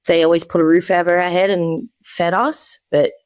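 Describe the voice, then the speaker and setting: Low-voiced woman. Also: level tone, normal speed, darkish tone, clear, resonant tone, no echo. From Australia. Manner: candid, conversational. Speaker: guest. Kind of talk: personal testimony.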